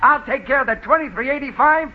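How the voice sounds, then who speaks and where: A man's voice: very high-pitched, fast talker. An actor playing a breezy, slangy man who serves a summons, in 1940s radio comedy style. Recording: dull old radio broadcast with faint hiss.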